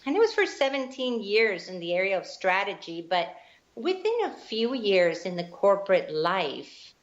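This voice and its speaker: A woman's voice: medium pitch, big pitch swings, normal speed. A woman from Guatemala, telling her life story as an interview guest, earnest and reflective. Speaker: guest, spiritual teacher. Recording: dull lo-fi line.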